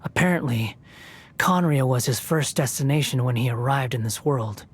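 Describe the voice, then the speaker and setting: A man's voice: medium pitch, moderate pace, slightly rough, natural voice. A man playing a sardonic, haughty young wanderer. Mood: measured, guarded. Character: bitter, sardonic, proud young male wanderer with fallen godlike power. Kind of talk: video game voice acting. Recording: music behind the voice.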